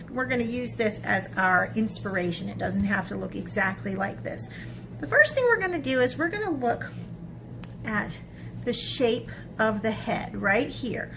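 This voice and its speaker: A woman with a somewhat high voice guides children through a drawing step by step. She is encouraging and informal, often thinking aloud as she works. Clear ambient noise runs behind her speech. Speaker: children's art teacher. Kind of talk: step-by-step drawing lesson with a storybook read-aloud.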